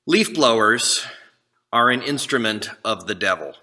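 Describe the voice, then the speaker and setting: A man introducing and reading a poem aloud to a congregation, measured and deliberate. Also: medium pitch, lively intonation, sharp tone. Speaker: congregation service leader. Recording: long reverberant hall echo.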